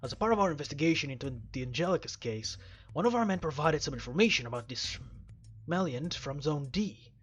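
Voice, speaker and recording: A man voicing a sombre, apologetic male police officer in a visual novel, low, measured and subdued. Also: lively intonation, breathy edge, highs cut off. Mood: sober.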